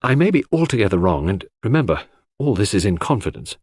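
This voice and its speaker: Man acting an earnest, cautious gentleman, animated and husky. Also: on the low side, fast talker, no echo. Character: earnest, cautious gentleman sleuth, hesitantly theorizing.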